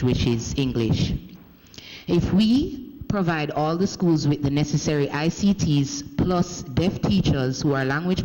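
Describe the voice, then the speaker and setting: Jamaican man, slightly high voice, formal address in a reverberant hall, measured delivery with frequent self-corrections. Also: darkish tone. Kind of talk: formal parliamentary speech, interpreted live. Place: parliament chamber. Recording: echoey parliament hall.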